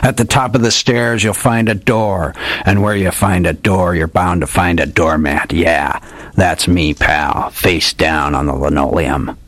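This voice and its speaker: A man's voice: sits low, steady tone, unhurried, fairly bright tone, no echo. A man reading a story aloud, voicing an old male hardboiled detective with theatrical tough-guy slang. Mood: smug.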